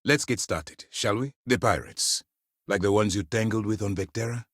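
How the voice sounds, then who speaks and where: A man's voice: lowish voice, normal speed, fairly bright tone, husky, no room sound, clean studio sound. A man playing a stern, principled military commander in a video game, speaking in a clipped, formal, commanding way. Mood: stern, businesslike. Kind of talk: scripted game character dialogue.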